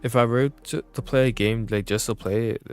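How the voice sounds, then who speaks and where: A man's voice: mid-range voice, steady tone, a little fast, darkish tone, clean tone. A man chatting casually as he streams a game, rambling in informal, slangy language. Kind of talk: casual chat while gaming. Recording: game music behind voice.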